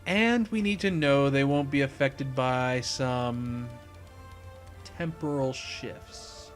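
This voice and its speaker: A man voicing a cool, calculating criminal in a story, with a controlled, smug, measured drawl. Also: medium pitch, faint music behind, natural voice.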